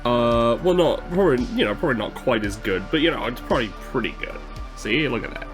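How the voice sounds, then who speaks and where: A man's voice: medium pitch, lively intonation, fast. A man chatting casually and a little hesitantly as a player at a tabletop game. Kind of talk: casual chat. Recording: music playing behind. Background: background music.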